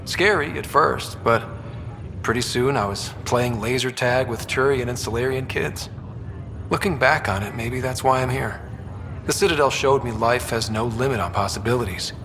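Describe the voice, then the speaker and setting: A man's voice: mid-range voice, moderate pace, natural voice. Wry young male soldier in a reverberant space, voiced by an actor. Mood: nostalgic. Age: young adult. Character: wry, wistful young soldier brother in a sci-fi future. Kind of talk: naturalistic video game dialogue acting. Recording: reverberant room, loud ambient hum behind.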